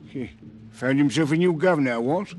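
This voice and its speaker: A man's voice: fairly high pitch, moderate pace, darkish tone, lightly acted. Man playing a brusque, sneering guard. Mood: suspicious, mocking. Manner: challenging, mocking. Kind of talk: 1950s radio drama acting. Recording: old radio recording.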